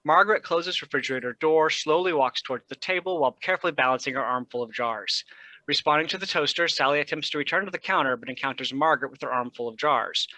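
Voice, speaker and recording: Male stage directions reader: scripted narration, mid pitch, bright forward tone, dry close sound. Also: fast talker, dry recording, low-quality recording.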